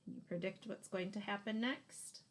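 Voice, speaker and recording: A woman in her thirties reads a children's picture book aloud expressively, leaning deliberately on key words. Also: medium pitch. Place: small room indoors.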